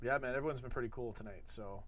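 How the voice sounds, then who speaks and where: A man's voice: medium pitch, quick pace, a bit bright, no room sound. Laid-back man hosting a stream. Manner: laid-back, laughs often, reads out chat names. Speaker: host. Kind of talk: casual live stream chat.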